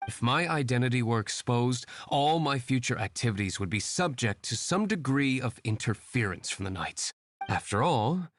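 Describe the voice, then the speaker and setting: An actor playing a calm, aloof young winery owner who works as a secret vigilante, measured and reserved. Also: male voice, on the low side, slight background noise, lightly acted. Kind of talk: game voice acting.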